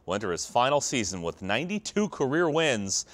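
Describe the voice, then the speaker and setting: A man's voice: mid-range voice, very animated, brisk, a bit bright. An American man reading scripted sports news as a television anchor.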